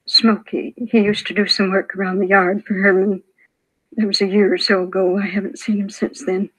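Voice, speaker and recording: A woman's voice: medium pitch, flat delivery, normal speed, natural voice, low-quality recording. A frail old widow in a vintage radio crime drama, played by a woman. She is hesitant and plaintive, apologizing as she goes, a shaken victim who is unsure of herself. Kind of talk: 1950s radio drama acting. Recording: vintage 1950s radio broadcast.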